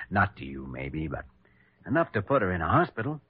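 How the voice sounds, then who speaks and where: Deep-voiced, earnest young doctor. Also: male voice, big pitch swings, a little hiss or hum. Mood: earnest, tactful. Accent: American. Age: young adult. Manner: measured, hesitant, reasoning. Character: earnest, patient young male hospital doctor, quietly firm. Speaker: actor in a radio drama. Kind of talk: classic American radio acting. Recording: muffled old radio recording.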